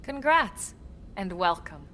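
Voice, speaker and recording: An actress playing a composed, capable military team leader in a video game, with a measured, assured delivery. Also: female voice, mid-range voice, very animated, a bit bright, a little hiss or hum. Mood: welcoming. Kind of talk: video game cutscene acting.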